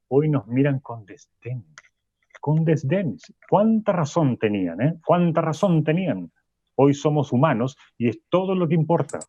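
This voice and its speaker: A man's voice: mid-range voice, a little mellow, slightly rough, no echo. An actor playing a grave male narrator in a radio drama, who speaks solemnly and repeats his phrases for weight. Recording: dull lo-fi dry recording.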